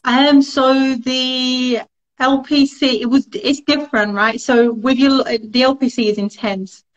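A woman's voice: sits high, steady tone, a little slow, lo-fi sound. A woman in her thirties, born in Russia and raised in London, chatting casually as an interview guest over a webcam call, with a rambling style full of fillers. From born in Russia, grew up in London. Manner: chatty, rambling, many fillers and quoted asides. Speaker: solicitor and law firm partner, interview guest. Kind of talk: casual interview chat on a live video call. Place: small room at home, indoors. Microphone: video call webcam.

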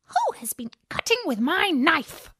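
A woman's voice: high-pitched, wildly swooping pitch, measured pace, sharp tone, no echo, studio quality, voice pushed up, exaggerated voice. A woman voicing fussy, kindly little male dwarfs in an exclaiming manner for a children's storybook. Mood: indignant, puzzled. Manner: exclaiming, questioning.

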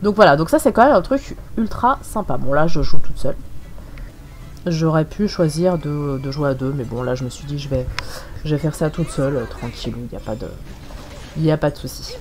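A woman's voice: low voice, expressive, normal speed, a little hiss or hum. A woman with a French accent and a cold, giving casual, rambling gameplay commentary full of hesitations. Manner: rambling, many hesitations and fillers. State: has a cold, sniffly. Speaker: gaming channel host. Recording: voice-over with faint game music.

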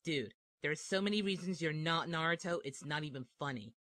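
A sardonic teenage ninja voiced by a man for a parody cartoon. The voice is crisp-edged and bright, recorded very dry, with deadpan sarcasm. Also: on the high side, a little fast, no echo. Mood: dry, unimpressed. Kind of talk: comedic parody dub.

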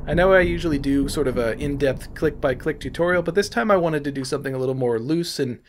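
A man's voice: fairly high pitch, fast, a little hiss or hum. A man giving informal, explanatory tutorial narration in an easygoing, loose way. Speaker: tutorial presenter, animator.

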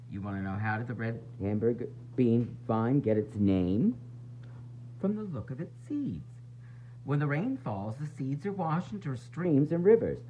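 Guy reading a kids book out loud, super up and down voice, playful dramatic bits, kinda muffled recording w faint noise. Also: a little high. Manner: dramatic emphasis with playful asides. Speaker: teacher reading a picture book aloud. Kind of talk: animated read-aloud for young children.

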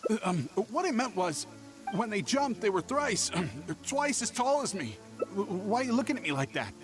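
A man's voice: sits high, very animated, moderate pace, fairly bright tone, faint music behind. A man voicing a nervous, shifty young merchant in a video game, hesitant and evasive. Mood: flustered. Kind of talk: scripted video game voice acting.

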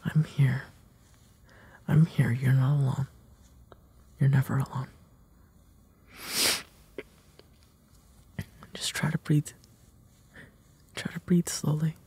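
A man acting a gentle, protective big brother in an intimate comfort roleplay audio, tender and hesitant, with a breathy, soft delivery. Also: mid-range voice, steady tone, a little slow, long pauses. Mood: soothing, calming. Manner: hesitant, tender, soft-spoken, often dropping into breathy whispers.

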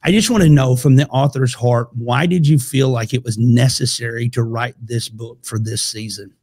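A man's voice: medium pitch, steady tone, moderate pace, a little mellow. A man in his forties hosting a faith podcast, talking in an easygoing, chatty way and laughing often. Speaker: podcast host. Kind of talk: casual conversational interview. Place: home studio room. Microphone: podcast microphone on a boom arm.

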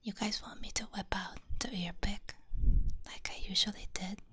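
A woman with a Hungarian accent, whispering softly and gently rambling through a binaural ASMR stream chat. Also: medium pitch, steady tone, moderate pace. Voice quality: soft breathy whisper. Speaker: VTuber streamer. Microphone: binaural mic.

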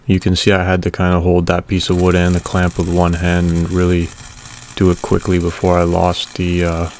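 A man casually narrating a welding repair video in a relaxed, matter-of-fact way. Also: low-pitched, moderate pace, slight background noise.

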